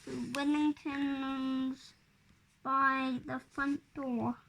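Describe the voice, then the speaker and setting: A five-year-old child reading a picture storybook aloud in a halting, word-by-word way. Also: high voice, level tone, a bit bright, a little hiss or hum, rough recording. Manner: halting, word-by-word early reader. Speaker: child reader.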